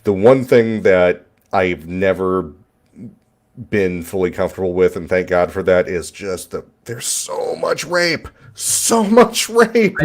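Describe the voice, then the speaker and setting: A man's voice: low-pitched, very animated. Dry, reflective man in his forties. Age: 40s. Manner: dry, reflective, halting restarts. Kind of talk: casual chat.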